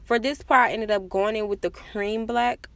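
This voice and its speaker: A woman's voice: fairly high pitch, steady tone, normal speed, vocal fry, dry recording. A woman giving a casual, chatty makeup tutorial voice-over with self-deprecating asides. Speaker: makeup vlogger.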